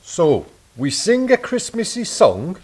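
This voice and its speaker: A man acts a pedantic, doubting soldier in a comedy radio play. His voice is husky and fairly high, with lively swings as he questions things. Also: takes it slowly, exaggerated voice. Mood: sceptical. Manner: doubting, exacting. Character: sceptical, pedantic male soldier. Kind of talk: comic audio drama acting.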